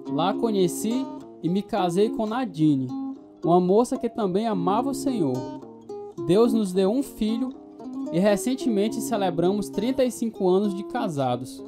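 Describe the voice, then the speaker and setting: Earnest devout Brazilian man over guitar music. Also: high-pitched. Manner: earnest, preachy. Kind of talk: radio drama testimony narration and dialogue.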